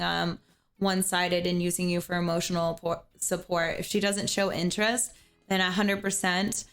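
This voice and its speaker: A woman's voice: medium pitch, a bit bright. Earnest woman streamer giving advice. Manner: earnest, repeats words as she thinks. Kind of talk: casual advice chat on a livestream.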